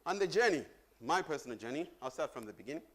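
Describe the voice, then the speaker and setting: A man's voice: on the high side, animated, fast talker, a bit bright. A middle-aged man from Zambia giving a chatty conference presentation on stage, joking with the audience. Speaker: conference speaker.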